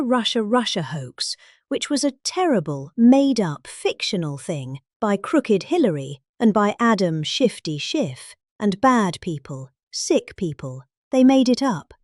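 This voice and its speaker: A middle-aged British woman delivers a political speech as a theatrical reading. Her intonation is lively, rising and falling widely with exaggerated emphasis. She sounds close and dry, with no room around her voice. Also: medium pitch, dry recording. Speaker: reader of a speech.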